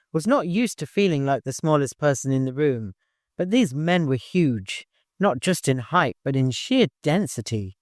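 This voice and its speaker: Smooth-voiced man narrating an audiobook. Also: a little high, no room sound, clean studio sound. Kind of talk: scripted literary narration.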